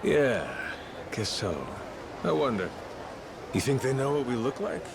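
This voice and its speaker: An actor playing a cocky middle-aged Yakuza turned pirate captain, with a brash, slangy drawl. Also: male voice, medium pitch, very animated, unhurried, creaky, background noise. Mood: wry.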